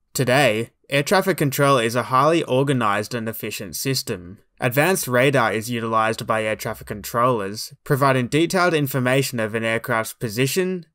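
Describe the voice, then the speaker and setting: Dry, measured male documentary narrator. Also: mid-range voice, a bit bright, dry recording. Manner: measured, formal, explanatory. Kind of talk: scripted narration.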